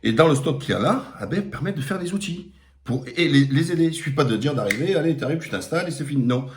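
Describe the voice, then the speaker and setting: A man's voice: on the high side, lively intonation, quick pace, darkish tone. A middle-aged man with a Marseille accent giving a blunt, emphatic opinion monologue on a live stream, with frequent fillers. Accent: Marseille French. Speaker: political commentator.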